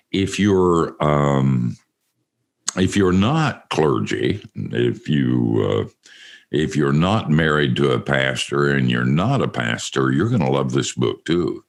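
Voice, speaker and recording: An older man with a very deep, gravelly voice hosts an easygoing chat. He talks slowly and with lively swings in pitch, tossing in self-mocking jokes and chuckles. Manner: joking, self-mocking, laughs often. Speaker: radio show host. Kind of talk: casual interview chat.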